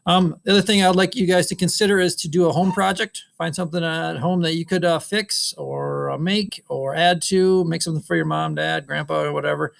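Middle-aged male woodshop teacher, halting webcam update to students, slightly high, gravelly voice. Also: fairly even intonation, a bit bright. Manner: halting, many ums and uhs. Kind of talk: casual recorded class update. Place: school wood shop. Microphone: webcam on a screen recording.

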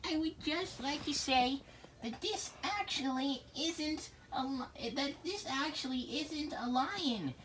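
A high-voiced performer voicing an elderly, muddled grandma teddy bear who gives halting cooking instructions in an amateur puppet skit. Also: expressive, fairly bright tone, exaggerated voice. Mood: pleased. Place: small bedroom, indoors. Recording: noisy home recording with clicks.

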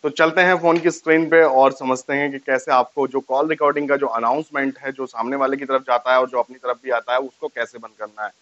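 A man's voice: mid-range voice, fairly even intonation, a little fast, few pauses, a bit bright, lo-fi sound. A man gives a casual step-by-step tutorial to camera, explaining and repeating each step. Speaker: tech tutorial host. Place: small furnished room indoors, on a sofa. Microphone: lapel mic.

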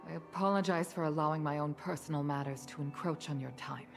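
A woman's voice: low-pitched, quick pace, soft background music. A woman voicing a stern, courteous, formal mother and commander in an anime-style video game. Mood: polite, apologetic.

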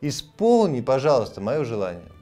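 A man's voice: medium pitch, wildly swooping pitch, moderate pace, warm tone, faint music behind. A man voicing an eager, proud young piglet from a storybook, in an earnest, emphatic manner. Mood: pleading.

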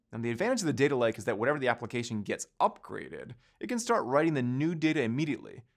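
A man in his thirties delivers a scripted lecture for an online course, standing in a studio and talking with his hands. His voice sits at an ordinary middle pitch for a man but moves up and down a great deal. He explains every point in steady, clear steps. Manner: explanatory, gestures with both hands while talking. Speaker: course instructor.

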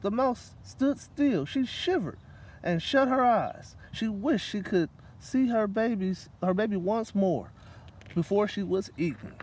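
A man's voice: high voice, lively intonation, unhurried, darkish tone. A middle-aged man reading a children's picture book aloud as a guest, outdoors at a park table. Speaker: guest story reader. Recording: distant microphone, light outdoor noise.

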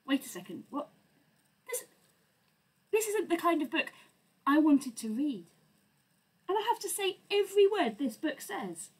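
A woman in her thirties reading a children's picture book aloud in a theatrical, expressive, deliberate way at home. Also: high voice. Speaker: storyteller. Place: small home room lined with bookshelves.

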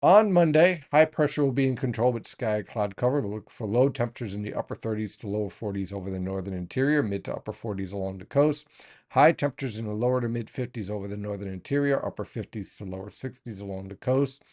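A man in his thirties presents a weather forecast to the camera from a small home studio. He explains at an even, steady pace and addresses his viewers directly, recorded on a desk mic. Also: on the low side, fast talker.

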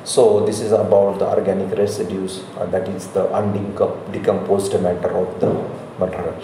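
A middle-aged man with an Indian accent giving a slide lecture as a college professor, speaking in halting, repetitive phrases. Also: deep voice, level tone, dark tone. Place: indoor room, recorded lecture. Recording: very echoey room, faint noise.

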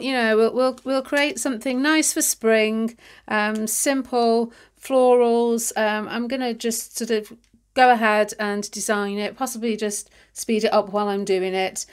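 Woman, stuffy nasal voice, congested, chatty craft host, relaxed with ums. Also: mid-range voice, smooth. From United Kingdom. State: nasal and congested from hay fever. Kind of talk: casual craft demonstration. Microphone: overhead camera on hands, voice-over.

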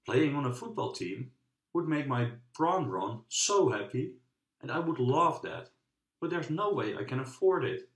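A man's voice: mid-range voice, sing-song, a little slow, fairly bright tone, pitched above the performer's own voice. A man voicing a loving, hard-up young single mother in a children's storybook, earnest and apologetic. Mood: earnest, regretful. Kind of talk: children's storybook character reading. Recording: roomy, echoing sound.

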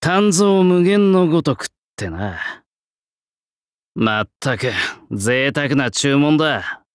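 A gruff game swordsmith who looks young and talks like a crusty old craftsman. His fairly high male voice moves with lively swings, unhurried and blunt. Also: no echo, studio quality. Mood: battle-ready, resolute. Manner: blunt, rough old-fashioned artisan speech. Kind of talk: Japanese game character voice lines.

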